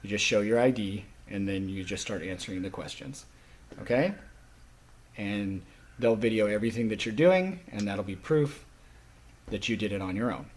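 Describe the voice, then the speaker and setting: Guy teacher with a kinda low voice, explaining class rules and grading, pauses a lot. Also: slight background noise. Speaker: college sign language instructor.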